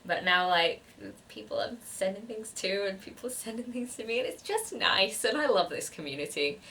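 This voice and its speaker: A woman's voice: medium pitch, lively intonation, normal speed, pushed and bright, recorded from a distance, slight background noise. A woman with a cold-stuffed nasal voice, chatting casually in a book vlog, rambling and self-deprecating with plenty of fillers. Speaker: book vlogger.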